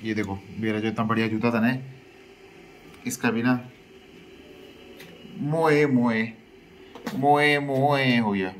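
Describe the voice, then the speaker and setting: A man's voice: mid-range voice, fairly even intonation, slow pace, pauses often, a little hiss or hum. A male travel vlogger chatting casually and playfully to his viewers, mixing English words into his talk.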